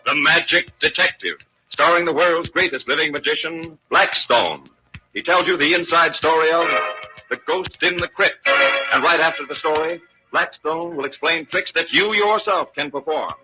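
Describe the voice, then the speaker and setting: A man's voice: on the high side, normal speed, pauses often, loud music behind the voice, close to an everyday voice, rough recording. A man announcing a mystery program in dramatic 1940s radio style. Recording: vintage 1940s radio recording.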